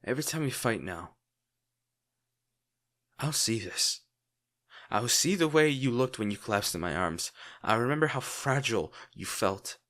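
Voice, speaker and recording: A man playing a lovesick, protective villain in an intimate, brooding audio roleplay, speaking earnestly with dramatic pauses. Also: medium pitch, lively intonation, a bit bright. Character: lovesick male villain, brooding, protective, torn over wounded hero.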